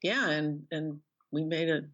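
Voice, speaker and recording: A woman's voice: low voice, measured pace, fairly bright tone. A middle-aged woman speaking conversationally as an author being interviewed, on a slightly dull online-call recording. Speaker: guest author.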